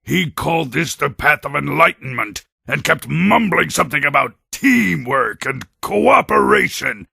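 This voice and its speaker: An actor playing a boastful, brawny warrior creature in a video game, blustering and menacing in a gravelly, raspy voice. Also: male voice, fairly high pitch, animated, unhurried, hardly any pauses, sharp tone, no echo, studio quality, exaggerated voice. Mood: gruff, puzzled. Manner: swaggering, bragging, menacing. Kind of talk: video game cutscene acting.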